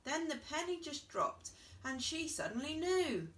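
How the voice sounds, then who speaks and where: A woman narrates a rhyming children's story with expressive, swooping intonation. Her voice is fairly high and unhurried, picked up a little way from the microphone. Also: recorded from a distance. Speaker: children's author reading a story.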